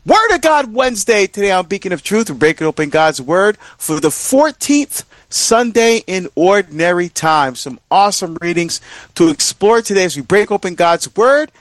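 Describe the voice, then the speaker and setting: Middle-aged man, higher-pitched, earnest religious radio host. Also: sing-song, no room sound. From Barbados. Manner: earnest, halting delivery with repeated phrases. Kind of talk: conversational radio talk with a devotional message.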